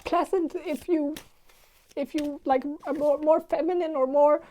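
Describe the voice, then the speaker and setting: A woman with a Danish accent, Icelandic by mother tongue, giving a casual tarot reading in a throaty, halting voice that searches for words. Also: high-pitched, fairly even intonation, dark tone. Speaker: tarot reader.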